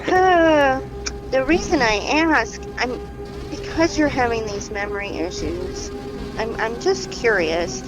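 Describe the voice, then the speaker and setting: A woman's voice: fairly high pitch, takes it slowly, music in the background, poor audio. A woman playing a caring, tactful doctor in a naturalistic fiction podcast, advising in a tentative, conversational way. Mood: gently probing.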